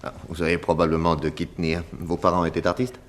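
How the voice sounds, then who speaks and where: An actor playing a shrewd, courteous police inspector in a 1960s French radio drama, questioning politely and patiently. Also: male voice, low voice, monotone, fast, close to an everyday voice. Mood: courteous, probing.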